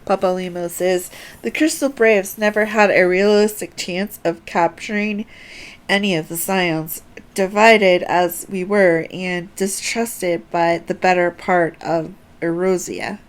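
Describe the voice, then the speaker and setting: A woman's voice: lowish voice, steady tone, measured pace. A woman game streamer carefully reading on-screen dialogue aloud, announcing each speaker before the line.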